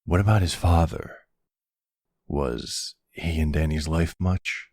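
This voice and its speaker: Guy doing a smooth talking older mafia boss, super low husky voice, slow and teasing, asmr roleplay. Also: darkish tone, no room sound, studio quality, exaggerated voice. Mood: teasing, flirtatious.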